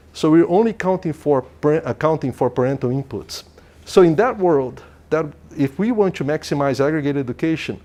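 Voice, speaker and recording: A man's voice: a little high, darkish tone. Man lecturing. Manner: thinking aloud, frequent restarts and "okay?" checks. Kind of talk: academic lecture. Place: lecture hall.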